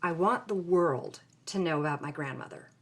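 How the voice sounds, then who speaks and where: A woman's voice: on the low side, moderate pace, close to an everyday voice. An actress playing a headstrong, impulsive young woman, speaking candidly and expressively in a podcast-style audio drama. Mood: earnest. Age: young adult.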